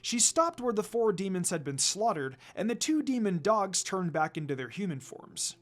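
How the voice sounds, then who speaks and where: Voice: mid-range voice, big pitch swings, normal speed, soft background music. A person reading a fan fiction story aloud as a scripted narration. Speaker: story reader.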